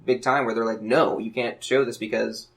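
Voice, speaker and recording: A man's voice: mid-range voice, fairly even intonation, moderate pace, fairly bright tone. A man discussing a card game on a podcast in a chatty, explanatory way.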